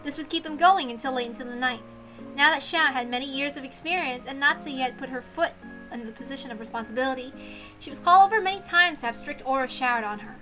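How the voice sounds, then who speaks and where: Female story reader, notably high and bright, expressive scripted narration of a visual novel. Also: quick pace, soft background music, low-quality recording.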